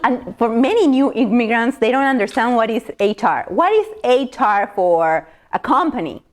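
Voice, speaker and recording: A woman's voice: fairly high pitch, lively intonation, moderate pace. A woman hosting an interview, asking questions and giving short affirmations. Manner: asks questions, brief affirmations. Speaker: host. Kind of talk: interview host.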